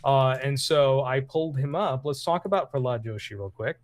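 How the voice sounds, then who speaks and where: A man's voice: medium pitch, moderate pace, dry recording. Dry rambling male streamer. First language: not English. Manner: rambling, many fillers and restarts. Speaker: streamer host. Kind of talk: casual political commentary.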